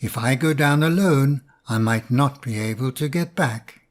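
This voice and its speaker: A man's voice: mid-range voice, measured pace, natural voice. A man voicing a greedy, hot-tempered male bear in a storybook reading, blunt and demanding.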